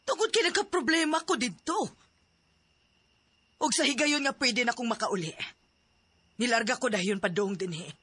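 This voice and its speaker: An actress playing a patient mother who pleads gently with her grown son. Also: a little high, animated, normal speed, pauses often, husky, full character voice. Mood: explaining.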